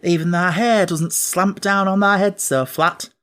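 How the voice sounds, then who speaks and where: A young Yorkshire housemaid from a storybook as a male narrator puts her on. She talks in homely, plain dialect with a kind bluntness. The voice is lifted higher. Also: fairly even intonation, a little slow, a bit bright, clean tone. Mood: frank, fond.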